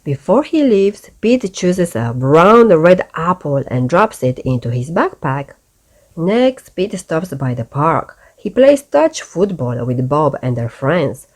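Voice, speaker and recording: A woman reading a children's picture book aloud as a bedtime story, with expressive, deliberate delivery for young listeners. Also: low voice.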